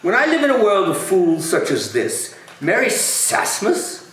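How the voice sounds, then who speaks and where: A man playing a grumpy, scornful old miser uncle in an amateur dramatic read, with gruff, profane exasperation. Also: high voice, huge pitch swings, unhurried, fairly bright tone, full character voice. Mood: bitter, exasperated. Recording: very echoey room, distant, faint noise.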